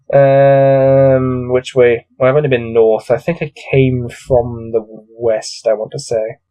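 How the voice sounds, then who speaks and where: A man's voice: medium pitch, flat delivery, slow, dark tone, rough recording. A man with a cold coming on reads a gamebook aloud, adding weary, exasperated, off-the-cuff commentary. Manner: weary, grumbling, exasperated asides. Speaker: solo gamebook reader and commentator.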